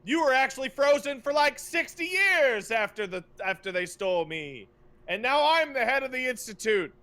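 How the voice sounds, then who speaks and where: A man's voice: very high voice, sing-song, normal speed, sharp tone, voice pushed up, heavily acted. A man voicing a smug old villain, a boastful and flippant leader of evil scientists, in a mock game retelling.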